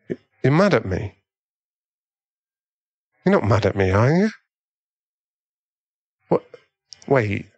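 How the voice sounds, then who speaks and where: A man acts out a devoted millionaire boyfriend who gives in easily, in an intimate ASMR audio drama. His pace is slow and halting, with pleading phrases and sighs. The sound is close and dry, at a middling male pitch. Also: no room sound, muffled recording, heavily acted. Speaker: ASMR roleplay voice actor.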